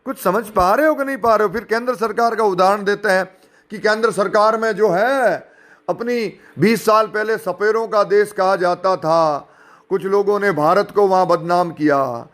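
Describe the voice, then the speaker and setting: Guy with a pretty high voice arguing back, sarcastic, indignant, talking straight at listeners. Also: normal speed, a bit bright. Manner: sarcastic, mocking; indignant, argumentative, rhetorical questions. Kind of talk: informal spoken rebuttal, addressing listeners directly.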